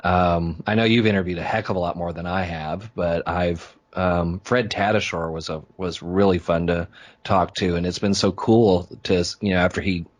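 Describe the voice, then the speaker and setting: A man's voice: deep voice, fairly even intonation, moderate pace, muffled recording. A man who hosts podcasts, chatting informally and telling anecdotes in a casual interview. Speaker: podcast host being interviewed.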